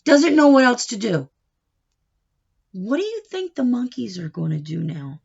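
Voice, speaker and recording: A woman reading a picture book aloud to children, expressive and coaxing, pausing to ask them questions, on a dull home recording. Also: fairly high pitch, vocal fry, husky. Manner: expressive, coaxing, pauses to question and prompt young listeners. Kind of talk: animated children's read-aloud with questions to the listeners.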